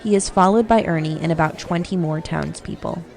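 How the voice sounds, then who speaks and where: Low, creaky-voiced woman narrating. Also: fairly quick, darkish tone. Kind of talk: scripted radio drama narration. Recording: music and crowd noise behind. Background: music and crowd bustle.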